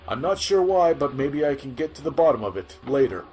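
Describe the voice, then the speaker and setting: A man acting an excitable, pushy sci-fi news reporter. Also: medium pitch, brisk, slight background noise, close to an everyday voice. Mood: curious. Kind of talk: amateur comic audio drama narration.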